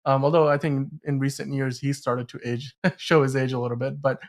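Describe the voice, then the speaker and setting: A man's voice: medium pitch, little pitch movement, fairly quick. A man hosting a casual film discussion podcast, talking in a rambling, emphatic way and often stumbling over his words. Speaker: podcast host.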